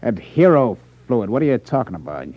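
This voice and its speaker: An American actor playing an angry, menacing crooked gambling boss in a 1950s radio drama, blustering and threatening. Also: male voice, mid-range voice, big pitch swings, fairly quick. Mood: sullen, baffled. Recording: muffled 1950s radio broadcast recording.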